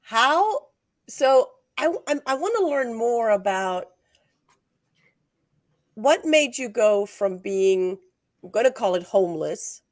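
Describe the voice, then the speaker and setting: A woman hosting an interview show, energetic and emphatic, her voice slightly hoarse today. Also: fairly high pitch, slow, long pauses, no echo, studio quality. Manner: energetic, emphatic, restarts phrases. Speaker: podcast host. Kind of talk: scripted show opening and interviewing.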